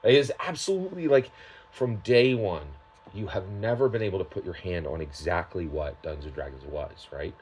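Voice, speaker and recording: Male gaming vlogger, low-pitched and rough-edged. Off-the-cuff opinions, halting but emphatic, frequent check-in tags. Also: lively intonation, darkish tone. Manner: emphatic, halting delivery with repeated tag questions. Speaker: tabletop gaming vlogger giving his opinions. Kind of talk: unscripted, opinionated product commentary.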